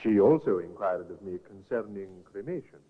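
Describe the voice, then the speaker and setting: An actor playing a careful, formal doctor giving evidence in a 1950s radio drama. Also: male voice, on the low side, animated, normal speed. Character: careful, apologetic male doctor witness. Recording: tinny old radio broadcast with reverb.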